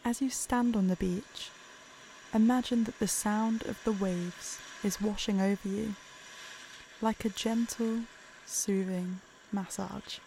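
A woman narrating a guided meditation in a calm, soothing, unhurried way. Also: medium pitch, a little hiss or hum, low-quality recording.

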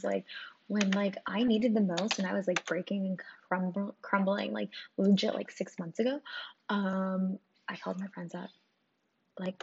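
A young woman with a croaky, hoarse voice talks through her podcast in a loose, profane way, full of "like" and "um." Her voice is gravelly in a middling register. The recording sounds dull and lo-fi, with clear background noise running under it. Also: medium pitch. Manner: candid, profane, filler-heavy with lots of "like". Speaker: podcast host. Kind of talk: casual solo podcast chat.

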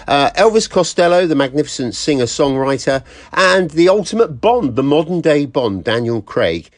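A man delivers humorous commentary in a wry, teasing way. His pitch moves about a great deal between punchlines and asides, and the sound is close and dry. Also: animated, normal speed, no echo. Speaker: comic presenter.

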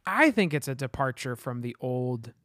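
A man talks at length in a casual podcast chat, often searching for words and filling gaps with "you know" and "I mean". His voice sits at an ordinary middle pitch. The recording is close and dry. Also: sing-song, moderate pace, a bit bright, no echo. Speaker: podcast co-host.

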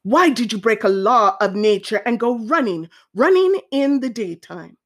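A commanding lion king from a storybook, acted out by a woman. He pronounces to his animals emphatically and deliberately. The voice is highish, with big rises and falls. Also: animated. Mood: stern questioning.

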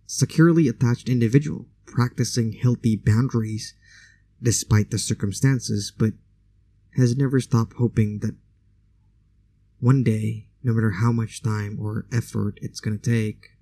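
A man's voice: on the low side, fairly even intonation, measured pace, vocal fry, mellow. A man whose first language is Indonesian, hosting a solo podcast in a conversational, opinionated way with casual asides. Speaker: podcast host.

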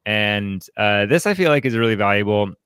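A man co-hosting a conversational book commentary, speaking in a halting, reflective way that often repeats words. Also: fairly low pitch, animated, fairly bright tone, clear, resonant tone, dry recording, clean studio sound. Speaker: podcast co-host leading a book commentary.

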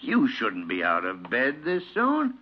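Blustery old senior physician, gruff and sputtering, widely swinging delivery, dull vintage radio sound. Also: male voice, medium pitch, wildly swooping pitch, a little slow, background noise. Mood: contrite, kindly. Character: crusty, blustery old senior doctor, grudgingly soft-hearted. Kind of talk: 1950s radio drama acting.

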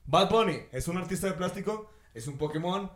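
A young man with a Mexican accent and a high-pitched voice co-hosts a casual podcast. He chats in loose slang, excitable and joking. The room adds noticeable echo. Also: fairly quick. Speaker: podcast host. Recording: roomy echo.

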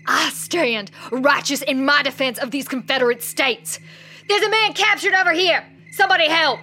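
Sharp, high-voiced vindictive woman soldier. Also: normal speed, faint music behind. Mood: righteous alarm. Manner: menacing, formal, commanding. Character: vindictive, self-righteous female Confederate infantry soldier. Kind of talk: radio drama acting.

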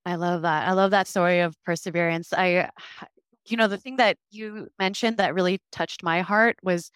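A woman's voice: on the low side, fairly even intonation, moderate pace, a bit bright, clean tone, no room sound, studio quality. A woman podcast host whose first language is Vietnamese, talking with a guest in an affirming, empathetic way. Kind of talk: casual interview chat.

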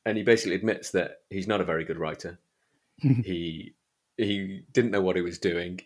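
A man hosting a podcast, talking casually about books in a hesitant, halting way. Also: low voice. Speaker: podcast host. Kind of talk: casual book discussion chat.

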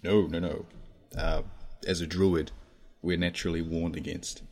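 A man voicing a male tiefling druid who insists on his innocence, in a low, earnest way. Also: flat delivery, a little slow, darkish tone. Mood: defensive.

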